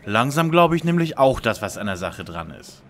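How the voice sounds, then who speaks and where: A man's voice: mid-range voice, big pitch swings, normal speed, a bit bright. A man playing a smug, precocious schoolboy detective, pompous and over-polite, in a parody audio play.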